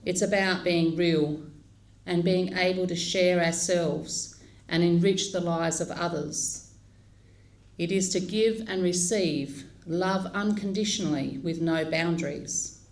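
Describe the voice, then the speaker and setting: A woman leading a funeral service, speaking in a slow, measured and solemn way. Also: lowish voice, steady tone. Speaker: funeral celebrant.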